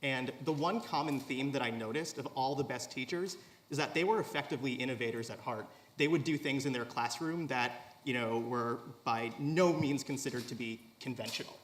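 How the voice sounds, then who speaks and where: A man's voice: medium pitch, moderate pace, fairly bright tone. A man in his thirties, an investor and former teacher, speaking earnestly on a panel with many fillers. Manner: earnest, storytelling, fillers like "you know", "like". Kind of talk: panel discussion. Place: conference hall stage.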